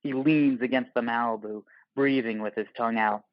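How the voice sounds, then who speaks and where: Narrator for audio play: male, mid-pitched, terse and clipped, scripted present-tense delivery, very dry room. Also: steady tone, moderate pace, no echo, dull audio.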